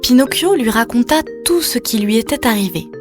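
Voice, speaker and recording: A woman's voice: a little high, lively intonation, a little fast. A woman reading a scripted children's story as a storyteller, putting questions to the young listener. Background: music.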